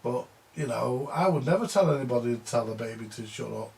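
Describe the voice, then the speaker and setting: A man's voice: mid-range voice, expressive, a little fast, vocal fry, a little mellow. An older man who repairs vintage audio gear, rambling and grumbling in a casual monologue, repeating himself and swearing now and then.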